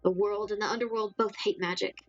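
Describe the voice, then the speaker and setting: A woman voicing an old necromancer witch, sardonic and gruff but secretly kind, blunt and theatrical in a dramatic story. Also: mid-range voice, steady tone, a little fast, no echo, dull audio, soft background music, natural voice. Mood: bitter, defiant.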